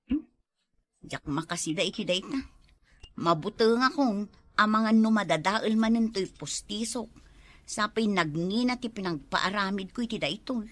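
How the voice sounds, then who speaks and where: A woman's voice: mid-range voice, fairly bright tone, close to an everyday voice. A woman acting an exasperated village woman in an Ilocano comedy drama, with an Ilocano Filipino accent. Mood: grumbling. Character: exasperated village woman with broken dentures, borrowing money.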